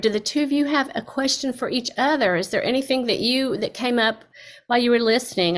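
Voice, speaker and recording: Accommodating woman moderator, mid-pitched. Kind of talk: informal event moderation.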